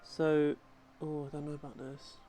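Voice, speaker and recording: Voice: mid-range voice, flat delivery, normal speed, mellow, soft background music. A gaming host giving casual gameplay commentary, thinking aloud through each step.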